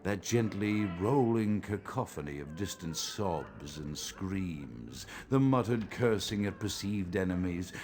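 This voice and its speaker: An actor playing a pompous, morbid old vampire psychiatrist in a video game, with grandiloquent, brooding and precise diction. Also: male voice, low voice, lively intonation, slow pace, few pauses, music in the background, heavily acted. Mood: morbid nostalgia. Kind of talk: theatrical monologue, video game voice acting.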